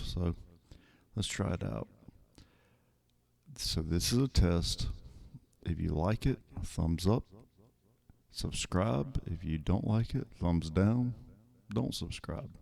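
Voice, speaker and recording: A man with a soothing voice, talking softly and slowly as he reads song lyrics for ASMR. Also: deep voice, vocal fry. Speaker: ASMR creator. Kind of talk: soft-spoken ASMR reading of song lyrics.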